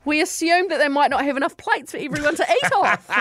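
A woman co-hosts a radio show in a New Zealand accent. Her voice sits a little high and she keeps breaking into laughter as she reads listener stories. The studio sound is close and dry. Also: lively intonation, fast talker, fairly bright tone, dry recording. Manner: laughs often while telling listener stories. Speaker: radio co-host. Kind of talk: casual radio chat.

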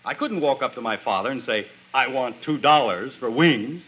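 A man's voice: medium pitch, lively intonation, moderate pace, close to an everyday voice. An actor playing a blustery, grumbling middle-aged father, indignant and dryly sarcastic, in 1940s radio sitcom style. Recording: muffled, noisy 1940s radio recording.

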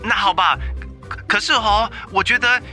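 An actor playing an anxious, loyal young friend in a radio drama, with a colloquial Singaporean accent. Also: male voice, high voice, sharp tone, faint music behind. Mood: hesitant, worried. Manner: colloquial, drawn-out particles. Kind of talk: Mandarin radio drama acting.

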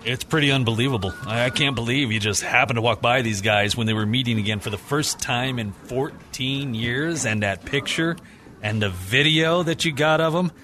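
A man's voice: mid-range voice, normal speed, pushed and bright, close to an everyday voice. Man playing a casual newsroom editor, noisy backdrop. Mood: impressed. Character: male newsroom editor, casual, food-minded boss. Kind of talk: scripted radio drama acting.

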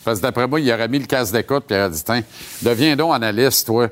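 Quebec french guy hosting sports chat, mid pitch, slangy and opinionated, casual questions. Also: quick pace. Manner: colloquial, opinionated. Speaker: sports show host interviewing a guest.

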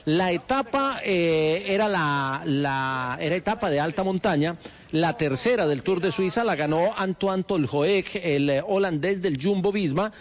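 A man's voice: a little high, animated, measured pace. Man hosting radio sports news. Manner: fluent with hesitant restarts.

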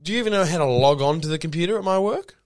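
Lively playful man, big pitch swings. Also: rapid-fire. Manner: playful, swearing, pitch jumps up and down. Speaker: podcast co-host. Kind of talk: comedic improvised chat.

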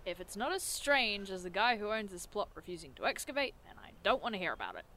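A woman's voice: medium pitch, very animated, fast talker, pushed and bright. A woman playing a sardonic, teasing monster hunter in an audio drama, casual and sarcastic in delivery. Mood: wry, unimpressed. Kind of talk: audio drama acting, naturalistic dialogue.

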